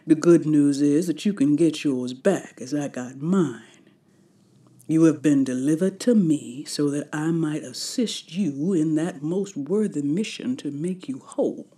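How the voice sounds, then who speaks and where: A woman's voice: low voice, a little slow, dark tone. An actress playing a serene woman healer in her nineties, speaking in a formal, incantatory manner in an audio drama. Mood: grave, kindly. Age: 90s. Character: serene ninety-something woman, healer-priestess leading solemn prayer.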